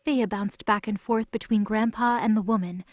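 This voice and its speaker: A woman's voice: mid-range voice, steady tone, normal speed, no echo, studio quality. A woman narrator reading a scripted fantasy story.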